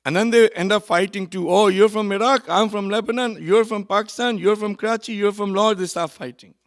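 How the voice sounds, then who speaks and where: Man preaching, soft then forceful. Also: sits high. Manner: swings from soft asides to loud emphatic preaching; rapid recitation of Arabic verse. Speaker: religious speaker at a memorial gathering. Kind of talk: sermon. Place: hall at a community centre.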